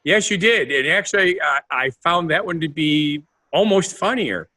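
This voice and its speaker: A man's voice: a little high, lively intonation, normal speed, pushed and bright. A man co-hosting a podcast in a casual, playful, meandering chat. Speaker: podcast co-host.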